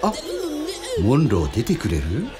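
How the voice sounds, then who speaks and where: A man's voice: fairly low pitch, huge pitch swings, measured pace, music in the background. An actor playing a self-doubting, grumbling ordinary man in a radio drama, casual, blunt and easily flustered. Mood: casual, reluctant.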